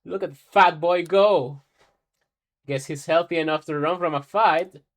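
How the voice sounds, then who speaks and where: A man's voice: on the low side, measured pace, fairly bright tone. A man voicing a fierce, tough old village grandmother who talks in a coarse, blunt, scolding way.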